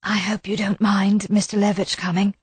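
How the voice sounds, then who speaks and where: A woman voicing a gentle, anxious Englishwoman in an audiobook, hesitant and apologetic. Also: mid-range voice, flat delivery, moderate pace, breathy edge, no echo. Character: shy, anxious, gentle Englishwoman, easily flustered.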